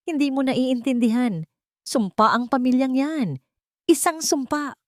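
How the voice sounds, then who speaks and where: A woman's voice: high-pitched, a little slow, no room sound, clean studio sound, pitched above the performer's own voice. A woman voicing a frightened, protective mother in a romance story, pleading urgently. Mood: desperate pleading.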